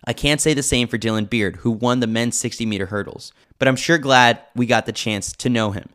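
A man's voice: mid-range voice, a little fast, fairly bright tone. A man reading a scripted sports newsletter with a lightly wry, joking manner. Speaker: newsletter reader, podcast host.

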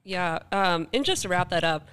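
A woman's voice: lowish voice, lively intonation, normal speed, fairly bright tone, smooth. A woman co-hosting a podcast, talking in a casual, conversational style. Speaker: podcast co-host. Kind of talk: casual chat.

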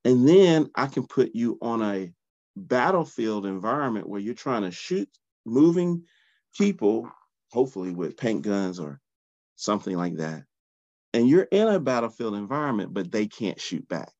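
A man hosting a solo podcast in a groggy early-morning voice, talking in an animated, conversational way and often restarting his phrases. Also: lowish voice, moderate pace, no room sound, highs cut off. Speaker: podcast host, soccer training founder.

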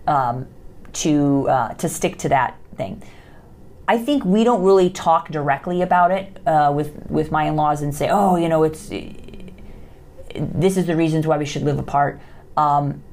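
Woman, low voice, podcast host, chatty advice talk, ums and restarts. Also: a little mellow. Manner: conversational, many fillers and restarts. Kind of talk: casual advice talk, answering listener questions.

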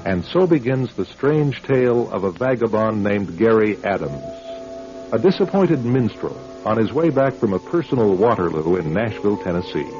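A man's voice: on the low side, very animated, moderate pace. A man narrating a suspense radio drama as announcer, in a formal, dramatic manner. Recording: dull radio recording over music.

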